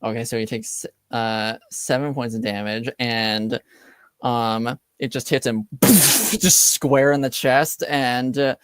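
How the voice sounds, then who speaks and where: Brief: male game master, unhurried delivery, dry close mic, casual and loose. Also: medium pitch, smooth, no room sound. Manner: laid-back, lots of fillers and laughs, swears casually. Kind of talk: running a tabletop game, casual chat.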